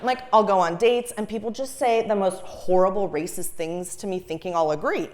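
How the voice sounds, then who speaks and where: A woman's voice: medium pitch, moderate pace, darkish tone. A young woman from Ukraine doing a stand-up comedy set on stage, chatty and self-mocking, often laughing at her own jokes. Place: comedy stage before a live audience.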